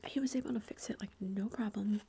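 Woman, thirties, whispered chatty storytelling, flat delivery, faint background hiss. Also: medium pitch, a little fast, creaky. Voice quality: whispering throughout. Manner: chatty, many fillers like "like". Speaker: vlogger.

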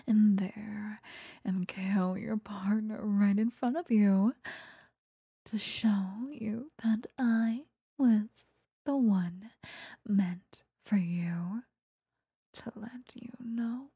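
A woman voicing an obsessive, sweetly menacing vampire lover in a breathy, whispered ASMR roleplay, close to the microphone. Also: medium pitch, dark tone, no room sound, exaggerated voice. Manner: slow, intimate, coaxing, threatening. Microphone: close microphone, dry.

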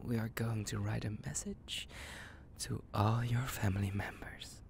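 A man's voice: on the low side, steady tone, unhurried, gravelly, a little hiss or hum. A man playing a psychotic, possessive young kidnapper in an intimate audio roleplay, cooing menacingly in half whispers with creepy giggles. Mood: smug, elated.

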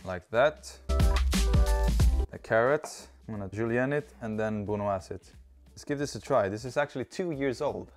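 A man in his thirties with a Swedish accent talks his way through a recipe in a home kitchen, chatty and cheerful. His voice sits at an ordinary pitch, close on a clip-on mic. Soft music plays faintly underneath. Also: moderate pace. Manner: chatty, cheerful, talks while working with food. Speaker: cooking video host. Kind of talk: casual cooking demonstration. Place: home kitchen with open garden door. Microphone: lapel mic.

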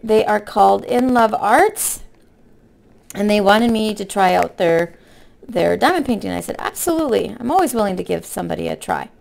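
A woman with a hoarse voice from being sick, chatting casually in a folksy, rambling way as a craft vlogger. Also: mid-range voice, lively intonation, normal speed, darkish tone. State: hoarse from illness with a cough. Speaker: craft vlog host. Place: indoor room at a table.

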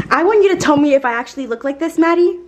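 A teenage girl filming a casual vlog with friends, excitable and laughing often. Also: sits high, fast, background noise. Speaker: vlogger.

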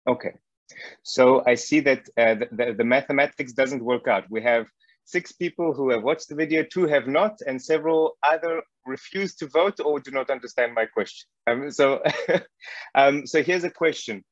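A man's voice: medium pitch, normal speed, no room sound. Muffled man from South Africa on a call. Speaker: guest lecturer. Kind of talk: informal online teaching talk. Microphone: wireless earbuds on a video call. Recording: muffled video call audio.

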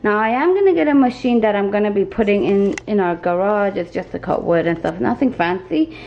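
A young woman with a raspy flu voice, chatting casually as she shows off her home craft studio. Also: mid-range voice, animated, fairly quick, muffled recording. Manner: chatty, self-deprecating, filler-heavy. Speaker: small shop owner giving a studio tour. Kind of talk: casual video tour.

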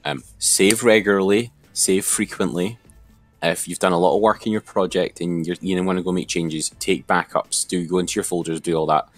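A man talking someone through software step by step in an informal, hesitant way, thinking aloud. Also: sits low, steady tone, moderate pace, no echo, faint music behind. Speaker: software tutor. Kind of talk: informal step-by-step screen tutorial.

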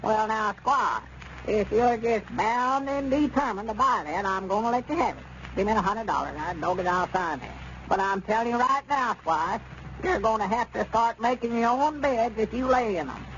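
High-voiced folksy old storekeeper. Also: male voice, moderate pace, fairly bright tone. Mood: giving in. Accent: rural Southern US. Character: folksy, stubborn, wary old country storekeeper. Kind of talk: 1930s radio comedy acting. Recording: dull, noisy old radio recording.

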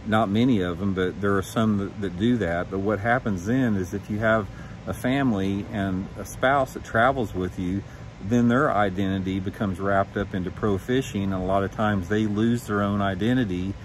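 Man in his fifties, slightly deep voice, emphatic, candid talk to camera, outdoors, light ambient noise. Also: moderate pace, low-quality recording. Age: 50s. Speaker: professional angler and vlog host. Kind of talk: candid opinion vlog, talking to camera. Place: suburban backyard, outdoors.

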